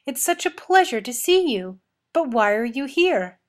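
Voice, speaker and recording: A gentle young village woman from a storybook, voiced by a woman reader. She speaks tenderly and earnestly in a fairly high voice. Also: very animated, measured pace. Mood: delighted.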